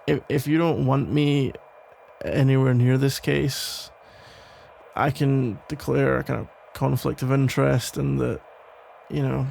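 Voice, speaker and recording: A man playing a protective, tender police officer still in love, speaking haltingly in an intimate ASMR roleplay. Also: mid-range voice, steady tone, creaky, slight background noise. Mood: earnest, careful. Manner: hesitant, halting, rambling with sighs and soft chuckles. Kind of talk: ASMR roleplay, intimate one-sided conversation.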